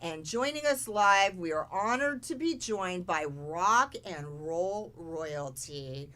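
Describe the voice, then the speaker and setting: A woman hosts a casual podcast with a bright, forward tone. She introduces her guests with bubbly enthusiasm and keeps the chat lively. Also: on the low side, takes it slowly. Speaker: podcast host.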